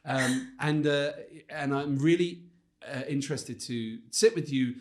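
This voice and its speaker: A man's voice: mid-range voice, a little slow, a little mellow. A man hosting a podcast interview, enthusiastic and effusive, often hesitating and restarting his sentences. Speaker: podcast host. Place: studio in Johannesburg.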